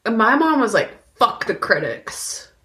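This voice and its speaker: A woman's voice: a little high, very animated, takes it slowly, bright, forceful tone. A woman co-hosting a podcast, talking about film in a casual, informal, conversational style. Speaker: podcast co-host.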